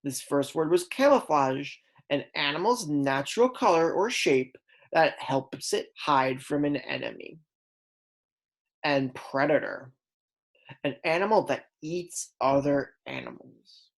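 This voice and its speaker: A woman's voice: low-pitched, animated, unhurried. A woman kindergarten teacher reading aloud a lesson for young children, explaining words simply and prompting her pupils.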